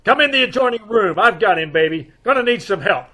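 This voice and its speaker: A man's voice: sits high, lively intonation, fairly quick, pushed and bright, exaggerated voice. A man playing a wry detective husband, teasing but protective, in an old-time radio mystery comedy. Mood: urgent.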